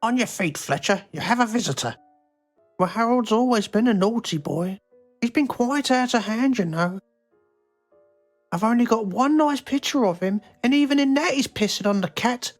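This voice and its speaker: A woman's voice: a little high, lively intonation, normal speed, soft background music. A woman playing a stern, callous mother in a game, talking in a matter-of-fact, scolding way.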